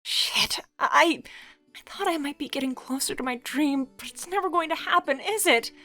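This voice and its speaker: A woman's voice: high voice, moderate pace, sharp tone, soft background music, pitched above the performer's own voice. A woman voicing an anxious, self-loathing middle-aged woman with a hesitant, stammering delivery in a story. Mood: despairing.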